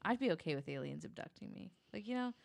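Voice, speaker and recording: A woman's voice: medium pitch, expressive, fast talker, creaky, no room sound, clean studio sound. A woman telling a story casually and informally as a guest on a podcast.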